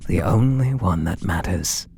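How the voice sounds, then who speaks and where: A British man voicing an obsessed, possessive artist and menacingly tender lover in a breathy, theatrical ASMR roleplay, drifting into whispers. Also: deep voice, lively intonation, a little slow, darkish tone, full character voice. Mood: possessive, contemptuous. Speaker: audio roleplay voice actor.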